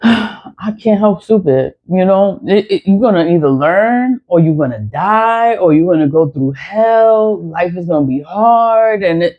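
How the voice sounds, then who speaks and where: A woman in her forties speaks straight to her viewers in a blunt, profane monologue. She piles up repeated phrases for emphasis at a middle pitch. The lo-fi recording sounds dull and muffled. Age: 40s. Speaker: spiritual vlogger.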